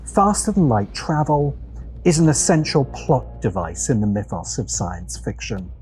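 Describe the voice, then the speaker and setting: A man narrating a scripted video essay with deliberate, dramatic phrasing. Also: medium pitch, sing-song, darkish tone.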